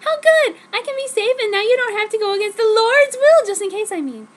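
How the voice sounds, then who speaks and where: A woman's voice: very high voice, lively intonation, brisk, pitched above the performer's own voice. A woman voicing a ditzy lovestruck teenage heroine in a breezy, exaggeratedly girlish way for a comic story reading. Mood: overjoyed.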